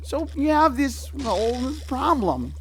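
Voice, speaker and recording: A man's voice: very high voice, sing-song, slow pace, slight background noise, exaggerated voice. An actor playing a naive, dim, eager young man in an audio drama, stammering and earnest in a nervously upbeat way. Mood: earnest, consoling.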